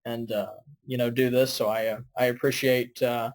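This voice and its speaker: Male podcast host with mid-pitched voice. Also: flat delivery, moderate pace. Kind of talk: casual interview chat.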